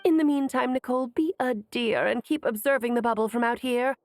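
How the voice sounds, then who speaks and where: A woman's voice: a little high, a little fast, darkish tone, no room sound, lightly acted. A woman voicing a playful, self-assured female mage in a video game, breezy and genteel yet commanding. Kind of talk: animated game dialogue.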